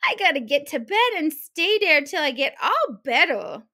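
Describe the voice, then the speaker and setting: A woman's voice: high-pitched, very animated, moderate pace, bright, forceful tone, voice pushed up, heavily acted. A woman voicing a bossy, self-centered big little girl in a storybook, with a whiny, demanding, exclamatory manner. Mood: whiny, complaining.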